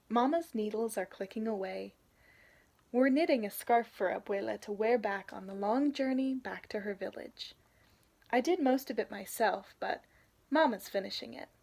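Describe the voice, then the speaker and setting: A woman's voice: on the high side, creaky, a little mellow. A young adult woman reading a children's picture book aloud in an informal storytelling manner. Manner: informal, pauses to point out illustrations.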